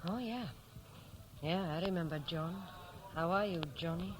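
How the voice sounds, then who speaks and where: An actress with a Welsh accent playing a guarded, stoical married waitress in a 1960s radio drama. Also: female voice, on the low side, normal speed, natural voice. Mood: guarded, polite. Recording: old radio recording, light background noise.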